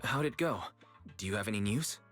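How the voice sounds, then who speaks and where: Calm young male game investigator: lowish voice, polite, measured, soft music bed. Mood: polite, curious. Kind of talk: video game story dialogue acting.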